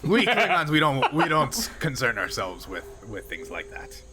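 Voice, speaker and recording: A man's voice: mid-range voice, huge pitch swings, normal speed, over loud music. A man voicing a blunt, scornful Klingon mine worker in a tabletop roleplaying game. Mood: blunt, unbothered. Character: gruff, dismissive Klingon mine worker, alien warrior.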